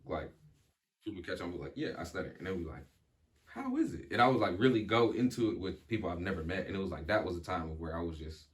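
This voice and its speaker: A man's voice: deep voice, very animated, fast. A young man talking reflectively in casual chat as a podcast guest, with many fillers, restarts and an occasional stutter.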